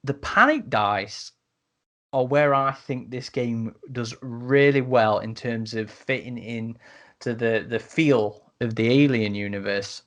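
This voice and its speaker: A man's voice: medium pitch, slow pace, fairly bright tone. A man hosting a casual podcast discussion, with hesitant, stop-start phrasing and repeated words. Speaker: podcast host.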